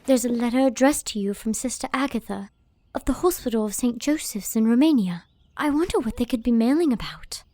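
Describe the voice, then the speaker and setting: A woman voicing a lively, strong-willed young woman, breezy and commanding. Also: a little high, a little fast, dry recording. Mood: bossy. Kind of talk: audio drama acting.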